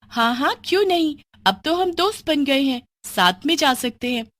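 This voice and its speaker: A woman's voice: sits high, lively intonation, moderate pace, fairly bright tone, no echo, voice pushed up. A woman voicing a friendly, innocent schoolgirl who scares easily, in a children's cartoon story. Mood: friendly, surprised.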